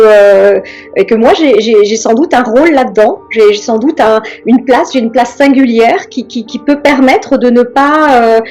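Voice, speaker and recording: A middle-aged woman from France, speaking as an interview guest in a reflective, hesitant way with many pauses and restarts. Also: fairly high pitch, darkish tone.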